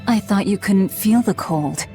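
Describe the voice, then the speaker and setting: A woman's voice: mid-range voice, unhurried, mellow, faint music behind, natural voice. An actress playing a guarded young woman demon who devours her enemies, speaking in a curt, cold manner in a video game cutscene.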